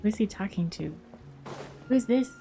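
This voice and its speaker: A woman's voice: mid-range voice, expressive, measured pace, dark tone. A woman casually commenting as she live-streams a video game. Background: faint game music.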